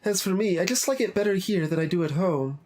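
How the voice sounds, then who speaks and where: A man's voice: sits high, lively intonation, fast talker, a little mellow, pitched above the performer's own voice. A man voicing a blunt, argumentative teenage schoolgirl while reading visual novel dialogue. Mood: blunt, insistent. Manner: earnest, argumentative. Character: blunt, assertive teenage schoolgirl in a literature club. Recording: game music behind the voice.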